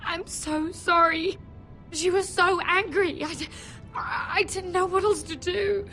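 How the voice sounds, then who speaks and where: An actress playing a gentle, earnest young noblewoman who speaks softly and sometimes drops to a whisper, in a story game. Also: extremely high pitch, fairly even intonation, soft background music, heavily acted. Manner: soft, slow and earnest, dropping to whispers.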